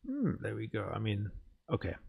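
A man's voice: fairly low pitch, wildly swooping pitch, moderate pace, vocal fry, darkish tone, husky. A man giving casual let's play commentary as a gaming host, muttering and thinking aloud.

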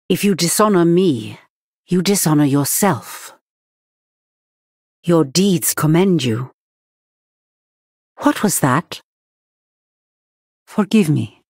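Slow, solemn elven priestess. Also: female voice, deep voice, breathy edge, no echo, clean studio sound, exaggerated voice. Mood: serene, solemn. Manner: measured, formal, stately. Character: solemn, dutiful ascended elven priestess, serene winged spirit warrior. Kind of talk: video game hero voice lines.

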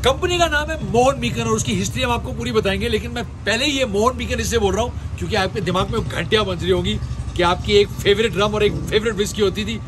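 A man's voice: sits high, quick pace, noisy background. A man hosting a travel show, chatting casually and joking while he shows viewers around a brewery. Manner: playful, jokey interviewer. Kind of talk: casual travel vlog chat. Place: brewery, indoors and outdoors.

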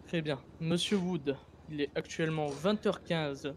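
Male online roleplayer as by-the-book cop, slightly high pitch, faint game noise. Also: measured pace. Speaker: roleplayer playing a police officer.

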